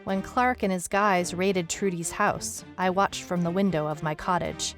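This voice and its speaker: A woman's voice: on the low side, steady tone, unhurried, soft background music, natural voice. A woman playing a wry detective who recounts a strange case in a dry, storytelling way, as scripted audio drama narration. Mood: wry, matter-of-fact.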